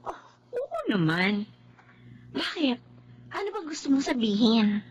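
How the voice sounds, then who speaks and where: An actress playing a vain, rude young office woman with a sharp tongue and a Filipino accent, haughty and dismissive in a TV drama. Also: fairly high pitch, sing-song, a little slow, breathy edge, muffled recording, full character voice. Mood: casual, smug.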